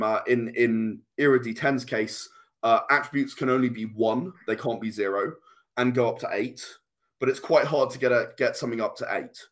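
British male guest, mid-pitched, conversational explainer, earnest, with hesitant restarts. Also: fairly bright tone. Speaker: guest, tabletop RPG and comic creator.

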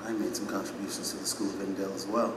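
An actor playing a self-important, naive and eager former mayor in an audio drama, pompous and full of wonder, in an echoey hall. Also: male voice, low-pitched, flat delivery, fast, far from the mic, background noise, natural voice. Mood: puzzled. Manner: pompous, wondering, hesitant when unsettled. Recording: echoey hall, music behind.

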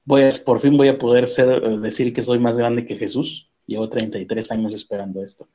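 A man's voice: medium pitch, level tone, a little fast, dark tone, muffled recording. A man, chatting casually, asking questions and joking lightly. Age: 30s. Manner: conversational, asks questions, jokes lightly. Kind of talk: casual chat.

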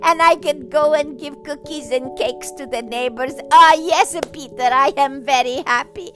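A woman's voice: high-pitched, a little slow, pushed and bright, faint music behind. Mrs. Singalot, a woman playing a gushing mother. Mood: happy. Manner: gushing, exclamatory, squealing with delight. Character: excitable cheerful middle-aged mother, Mrs. Singalot.

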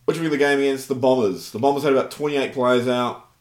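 A man's voice: medium pitch, steady tone, fast talker, roomy sound. A man with an Australian accent co-hosting a casual sports podcast, blunt and rambling, with some swearing. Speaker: podcast co-host.

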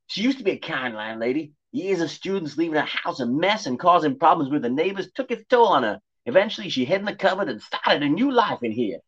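A cheeky male talking cat squeaks out his warnings high and fast-changing, as a man reads him. The audio is dull and lo-fi. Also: big pitch swings, a bit bright, husky, full character voice. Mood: chatty.

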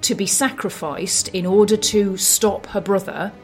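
A woman co-hosting a film podcast, giving analysis in a measured, considered way. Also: mid-range voice, soft background music.

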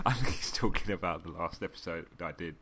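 Deep-voiced man, joking podcast co-host. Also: brisk, breathy edge. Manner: joking and laughing often, relaxed slangy talk. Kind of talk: casual chat, reading listener messages aloud.

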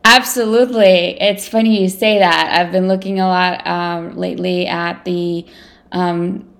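A woman's voice: lowish voice, steady tone, a little slow, vocal fry. A woman from Ukraine, a native Russian speaker, talking thoughtfully and a bit hesitantly in a conversational interview. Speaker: interviewer, security professional.

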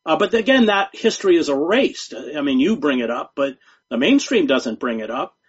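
A man speaking as a guest journalist in an interview, talking in a measured, emphatic way and often repeating words. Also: fairly high pitch, very animated, slightly rough. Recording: dull lo-fi sound.

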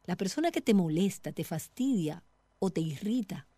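A middle-aged woman giving a devotional teaching talk as a Bible teacher, speaking in a measured, earnest way directly to the listener. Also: fairly low pitch, lively intonation, mellow, no room sound.

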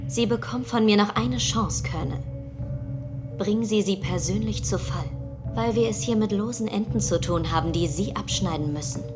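A woman's voice: mid-range voice, normal speed, close to an everyday voice. A woman playing a stern government superior in a dubbed TV drama, speaking in a formal, clipped and menacing way. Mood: stern, threatening. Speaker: voice actor in a dubbed TV series. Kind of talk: dubbed screen drama dialogue. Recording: music clearly behind the voice.

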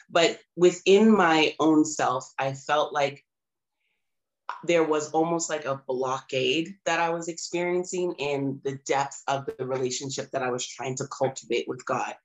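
A woman, a little raspy and hoarse from a cold, telling her personal story in an earnest, reflective way as a podcast guest. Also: very deep voice, rough recording. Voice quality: slightly raspy. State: recovering from a cold, slightly hoarse. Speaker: podcast guest, empowerment coach and holistic healer.

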